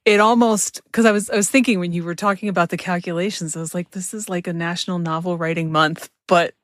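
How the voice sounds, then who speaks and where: A woman's voice: on the low side, fairly even intonation, fast, no room sound, studio quality. A woman hosting a podcast, talking casually and conversationally with her guest. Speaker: podcast host, interviewer.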